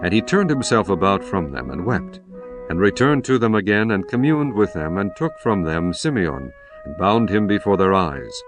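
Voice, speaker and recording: Male narrator, solemn, measured, low voice, music underneath. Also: big pitch swings. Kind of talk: scripted scripture narration. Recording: music bed behind voice.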